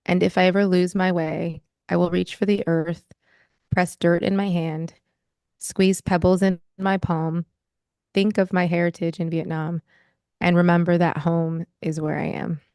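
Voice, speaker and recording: Young American woman, author; smooth, lowish voice, measured and reflective delivery. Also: level tone, darkish tone, no room sound, clean studio sound. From United States. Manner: measured, thoughtful, rhythmic reading. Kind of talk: poetry reading and interview.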